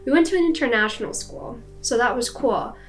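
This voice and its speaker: A woman's voice: fairly high pitch, expressive, brisk, faint music behind. A young woman with an American accent telling a personal story in a chatty, casual way, with many fillers. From United States.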